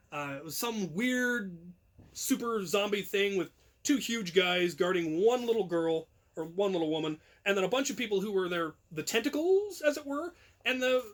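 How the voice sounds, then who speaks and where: A man's voice: high voice, a bit bright. A man casually telling a long story with lots of ums and restarts, chuckling at himself now and then. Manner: rambling, self-amused, frequent ums and restarts. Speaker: channel host telling a story.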